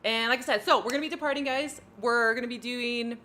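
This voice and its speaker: A woman's voice: a little high, quick pace, sharp tone, a little hiss or hum. A woman with a French Canadian accent hosting a flight sim livestream, chatting casually, giggling often and talking through her cockpit steps. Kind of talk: casual livestream chat while flying.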